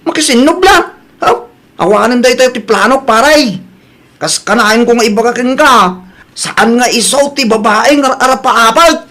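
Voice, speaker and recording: A woman's voice: a little high, moderate pace, a bit bright. A woman with a Filipino Ilocano accent playing a frank young friend bearing news in a comedy drama. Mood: insistent.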